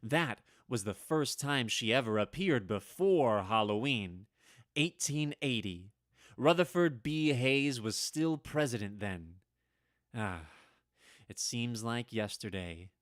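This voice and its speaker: A man's voice: medium pitch, a bit bright, no echo, clean studio sound. An actor playing a nervous, henpecked old man with a hesitant, stammering delivery in an old-time radio drama. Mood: wistful reminiscing. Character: nervous, henpecked old man recalling his witch wife.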